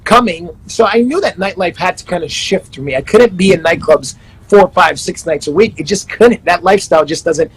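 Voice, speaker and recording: Husky, high-pitched man in his 30s; upbeat, self-promoting interview guest. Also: a little fast, poor audio. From Panama and the Netherlands. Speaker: life coach guest. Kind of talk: casual interview chat.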